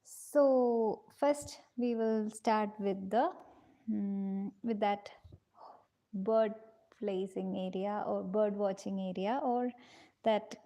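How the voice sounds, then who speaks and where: A woman leads a live drawing class at an unhurried, careful pace. Her mellow voice carries a gravelly edge, and she stays gentle and patient, calling her students dears. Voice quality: low-pitched voice, in her own words. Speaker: drawing teacher.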